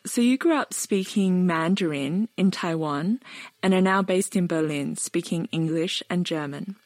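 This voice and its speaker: A woman's voice: lowish voice, a little slow, a little mellow, no echo. A woman hosting an interview podcast in an articulate, thoughtful, reflective way. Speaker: podcast host.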